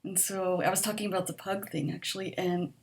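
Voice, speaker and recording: A soft-spoken woman talks casually through a list of questions, pausing often with ums and likes. Her voice is quiet, and a mild stutter catches on a word now and then. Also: fairly low pitch, fairly even intonation, creaky. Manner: hesitant, with frequent ums and likes, laughs softly. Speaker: vlogger answering a survey. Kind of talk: casual chat.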